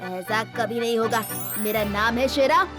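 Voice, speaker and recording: A woman voicing a boastful, bossy, excitable schoolboy rival in a kids' cartoon. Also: on the high side, measured pace, over music, natural voice. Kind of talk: Hindi kids' cartoon dubbing.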